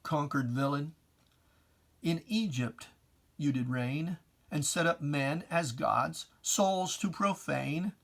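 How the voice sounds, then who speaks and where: A man's voice: fairly high pitch, slow pace, gravelly, a bit bright. A man giving a dramatic reading of religious verse in an emphatic, declamatory, theatrical manner. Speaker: poetry reader.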